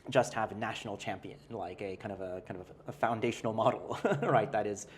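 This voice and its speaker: A man's voice: on the low side, fairly quick, husky. A male professor, a guest in an interview, explaining ideas in a thoughtful, hedging way with frequent "right?" tags and restarts. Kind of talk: conversational interview.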